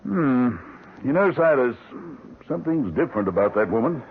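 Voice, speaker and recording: Man playing a lively, probing old-radio doctor. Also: medium pitch, normal speed, natural voice. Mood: genial, curious. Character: concerned, probing family doctor, genial and familiar. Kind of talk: old-time radio drama acting. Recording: tinny, noisy old radio broadcast.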